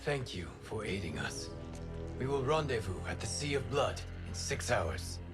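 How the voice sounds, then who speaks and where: An actor playing a calm, noble warrior god in a video game cutscene, speaking formally and earnestly with solemn compassion. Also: male voice, mid-range voice, normal speed, music in the background, lightly acted. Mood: calm, resolute.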